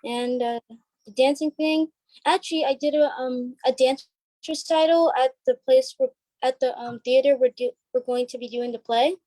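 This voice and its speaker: A woman answering interview questions in a casual chat, in a hesitant, halting way. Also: high-pitched, fairly even intonation, dark tone, no echo, rough recording. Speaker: cast member being interviewed.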